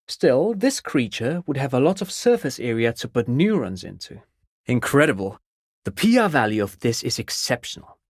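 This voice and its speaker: A man's voice: medium pitch, no echo, clean studio sound. A man playing an eager, erudite consultant to the police in a video game, speaking in a polite, pedantic, lecturing manner. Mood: excited. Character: eager, erudite male civilian consultant to police, digressive and excitable.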